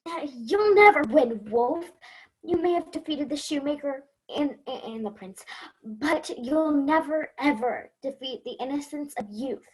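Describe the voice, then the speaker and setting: A young performer playing an earnest, stammering hero kid in a fairy tale play. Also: female voice, sits high, animated, measured pace, rough recording.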